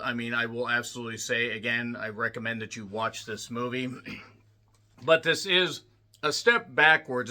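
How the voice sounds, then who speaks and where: A man with a slightly rough, worn voice giving a casual spoken movie review, blunt and full of swearing, clearing his throat often. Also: medium pitch, sharp tone. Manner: blunt, profane ranting with frequent throat clearing. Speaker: film reviewer.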